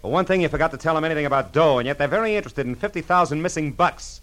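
An American actor playing a tough, wisecracking, world-weary private detective in a 1940s radio noir drama, sardonic and slangy. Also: male voice, on the high side, animated, very fast, fairly bright tone. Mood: pressing, cynical. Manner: hard-boiled, sardonic, slangy.

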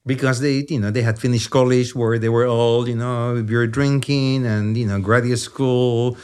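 A man in his sixties with a strong Chilean accent explains ideas in a lively, conversational way. He keeps checking in with "yeah?" as he talks and stumbles over quick restarts. His voice sits in an ordinary middle range. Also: mid-range voice. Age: 60s. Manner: animated, repeats "yeah?" and "you know", stammers on restarts. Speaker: neuroscientist guest.

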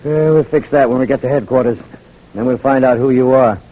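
An actor in an old-time radio drama playing a hard, suspicious army lieutenant who barks orders and questions curtly. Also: male voice, mid-range voice, brisk, noisy background, lightly acted. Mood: firm, knowing. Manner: commanding, curt, interrogating.

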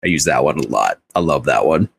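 A man's voice: very deep voice, expressive, brisk, creaky, slightly rough. A man chatting casually as a co-host on a podcast. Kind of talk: casual chat.

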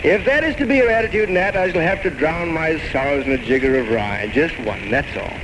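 A man's voice: on the high side, animated, brisk, natural voice. A man playing an eloquent, charming but desperate drinker in an old-time radio drama, with florid, mock-grand, poetic delivery. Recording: noisy vintage radio recording with music.

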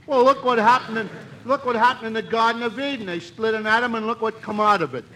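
An actor playing a cheerful, slow-witted barfly sidekick in a 1940s radio comedy, with a goofy, earnest manner. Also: male voice, very high-pitched, steady tone. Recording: very noisy vintage radio recording.

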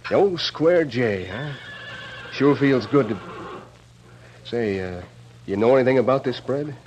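An actor playing a mild older cowhand with a past, American accent, plain-spoken and hesitant, in an old radio western. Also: male voice, mid-range voice, animated, measured pace, darkish tone, lo-fi sound, a little hiss or hum, close to an everyday voice. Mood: friendly, guarded. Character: mild older ex-convict cowhand, trying to avoid trouble.

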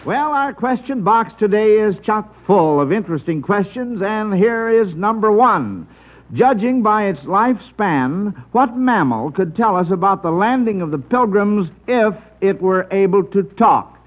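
High-voiced jovial old-time radio quizmaster. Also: male voice, animated, measured pace. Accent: American. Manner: jovial, folksy showmanship. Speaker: radio quiz show host. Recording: dull vintage radio broadcast.

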